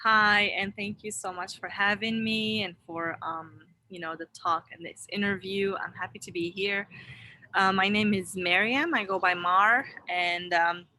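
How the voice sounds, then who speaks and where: A woman's voice: medium pitch, fairly even intonation, unhurried, pushed and bright, slight background noise. A young adult woman from Morocco, a wellness founder and interview guest, telling her story in an earnest, rambling way with many restarts. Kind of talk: casual interview talk. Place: online video interview.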